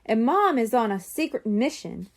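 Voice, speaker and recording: A woman's voice: a little high, sing-song, moderate pace. A woman voicing a responsible older brother in a children's storybook, giving polite but firm instructions. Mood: informative.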